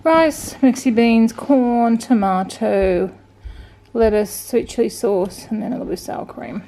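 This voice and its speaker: An Australian woman in her forties with a croaky voice, talking casually and wearily through her day at home in a vlog. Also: on the high side, a little slow, mellow, low-quality recording. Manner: tired, rambling, self-talking. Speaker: vlogger. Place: indoors at home.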